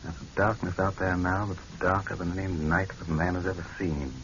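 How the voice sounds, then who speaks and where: An actor playing a sardonic, pompous head of state in a vintage radio drama, musing drily and giving orders. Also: male voice, deep voice, flat delivery, normal speed, a bit bright. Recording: muffled, noisy vintage radio recording.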